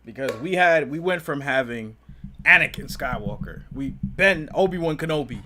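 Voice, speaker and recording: A man's voice: on the high side, animated, moderate pace, pushed and bright, slight background noise. A man co-hosting a casual fan discussion, speaking in an informal, slangy way. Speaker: podcast co-host.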